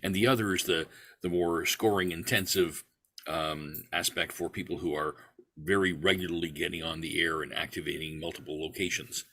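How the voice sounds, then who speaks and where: A man's voice: deep voice, fairly even intonation, normal speed, pushed and bright. A man answering a question in an online meeting, rambling through restarts and fillers. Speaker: award creator, club volunteer.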